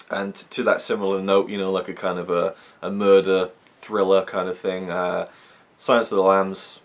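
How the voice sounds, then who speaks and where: Brief: young man from Wales, deep voice, rambling chatty vlogger. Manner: rambling, chatty, many fillers. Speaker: YouTube reviewer. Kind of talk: casual chat to camera.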